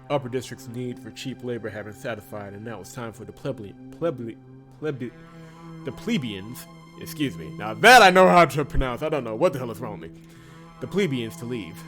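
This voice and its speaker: Expressive male let's play reader over music. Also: medium pitch, fairly quick. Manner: expressive reader who breaks off to correct himself. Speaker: host of a visual novel let's play. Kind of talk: reading game story aloud with casual asides.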